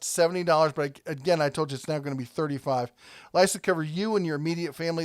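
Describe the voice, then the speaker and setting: A man's voice: a little high, no echo, studio quality. A man hosting a casual product review, talking conversationally and thinking aloud as he rambles along.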